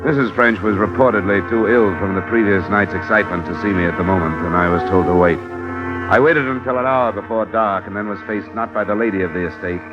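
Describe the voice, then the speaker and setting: An actor playing a hard-boiled insurance investigator who narrates and questions in a terse, matter-of-fact way, in a 1950s radio drama. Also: male voice, fairly low pitch, brisk, music in the background, close to an everyday voice. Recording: dull old radio broadcast.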